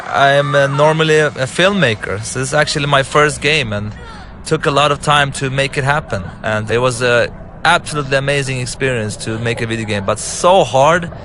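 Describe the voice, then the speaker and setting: A man from Lebanon, an Arabic native speaker with a Lebanese accent, gives a halting developer commentary as the game's writer-director, often searching for his words. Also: mid-range voice, bright, forceful tone, a little hiss or hum.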